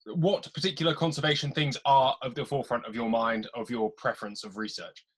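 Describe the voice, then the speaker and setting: A man interviewing a guest in a relaxed, friendly way. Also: medium pitch, brisk. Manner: easygoing, calls guest mate. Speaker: podcast interviewer. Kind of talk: casual interview chat.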